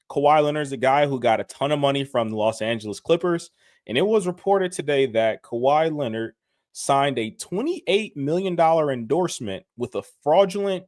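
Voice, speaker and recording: A man with a mid-pitched voice walking through sports news on a podcast. The sound is dry and close, and he argues his points in a steady, measured way. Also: no room sound. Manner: measured, explaining, some restarts. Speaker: podcast co-host. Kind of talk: casual sports chat.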